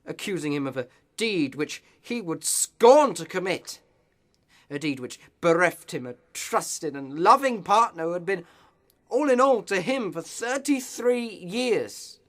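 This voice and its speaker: A man's voice: high-pitched, animated, a little slow, fairly bright tone. A man playing a righteous, indignant clergyman in a radio play, speaking in formal, impassioned oratory. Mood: righteous anger.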